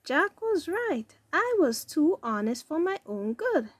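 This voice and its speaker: A woman voicing an earnest young boy who sells cherries in a read-aloud storybook, with simple expressive delivery. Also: sits high, slow, no room sound, pitched above the performer's own voice, full character voice. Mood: questioning, defensive.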